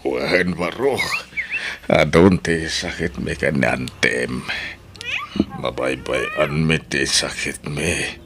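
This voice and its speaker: An actor with an Ilocano accent playing a sickly, weary elderly uncle in a radio drama. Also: male voice, a bass voice, very slow, exaggerated voice. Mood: plaintive. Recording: noisy radio drama recording.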